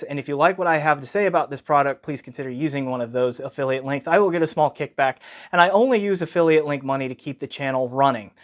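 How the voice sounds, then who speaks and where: A man's voice: fairly high pitch, no room sound, clean studio sound. A man giving an informal product review as a channel host, conversational and wordy with technical asides.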